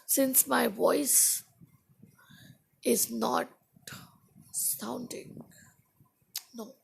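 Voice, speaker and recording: A woman English teacher talks casually to her live viewers with a husky, breathy tone and a heavy rasp underneath. She speaks slowly and haltingly, often stopping to reword what she just said. Her voice is a little worn today. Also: fairly high pitch, steady tone. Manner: slow, halting, stops to rephrase her own sentences. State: voice not at its best.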